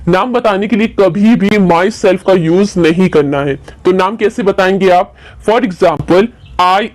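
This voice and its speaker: A woman's voice: medium pitch, a little mellow. Patient woman teaching English phrases. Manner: patient, repeats each phrase slowly. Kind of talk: explaining English phrases in Hindi.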